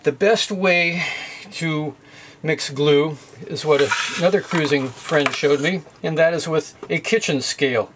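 A man's voice: on the high side, a little slow, noisy background. Methodical middle-aged man demonstrating. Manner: plain, methodical explaining with occasional fillers. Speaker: sailing how-to video host. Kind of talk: hands-on demonstration. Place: boat galley at a sink.

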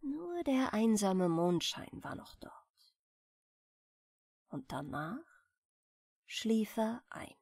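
Slow, gentle woman reading bedtime tales. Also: on the high side, husky, no room sound, studio quality. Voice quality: soft. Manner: expressive, unhurried storytelling. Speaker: audiobook narrator.

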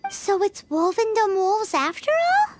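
A woman voicing a tiny, excitable fairy companion in a video game, with a squeaky, childlike, cutesy delivery. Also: measured pace, full character voice. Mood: curious. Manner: cutesy, exclamatory. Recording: game capture with loud background noise.

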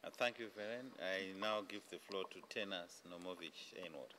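A middle-aged man with a fairly low voice chairs a formal panel. His phrasing is hesitant, and faint room noise sits behind the conference microphone. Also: moderate pace, bright, forceful tone. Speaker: panel moderator. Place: large indoor conference room. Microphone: desk conference microphone.

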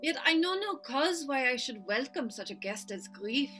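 A woman's voice: high voice, big pitch swings, pushed and bright, faint music behind, full character voice. An actress reading a grieving queen in a Shakespeare staged reading over a video call. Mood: sorrowful. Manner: sorrowful, slow declaiming. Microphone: video call webcam.

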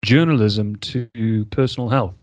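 A man's voice: on the low side, a little slow, a little mellow, dry recording. A man with a South African accent hosting a podcast interview in florid, theatrical phrasing. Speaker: podcast host. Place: indoors, remote video call. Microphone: large desk microphone, close.

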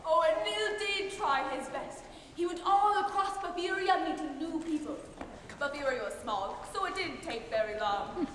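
A woman acting as a deliberate, declamatory storyteller in an amateur stage comedy. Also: very high voice, animated, a bit bright. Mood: storytelling. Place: large theater stage, far from mic. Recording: distant echoey theater, noise, audience.

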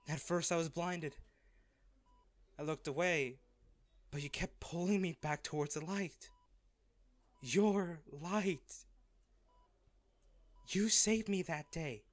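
A man acts out a rich, devoted man in anguish, his voice fairly high for a male. He speaks slowly and earnestly, with heavy pauses. It is an intimate audio drama performance full of feeling. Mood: tender. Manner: halting, emotional, earnest delivery with pauses. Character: wealthy man, devoted and distraught, confessing his love. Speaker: voice actor in audio roleplay.

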